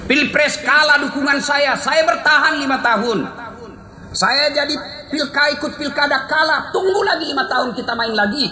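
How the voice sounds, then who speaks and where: A middle-aged Sulawesi-accented preacher delivers a Friday sermon into a stand mic, his high, bright, forceful voice ringing through an echoey mosque hall. He tells dramatic stories and throws rhetorical questions at the congregation, dropping to a hush and then rising again. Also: moderate pace. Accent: Indonesian from Sulawesi. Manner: dramatic storytelling preacher, rhetorical questions, swings from loud to hushed. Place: mosque stage, indoors. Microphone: stand mic at lectern.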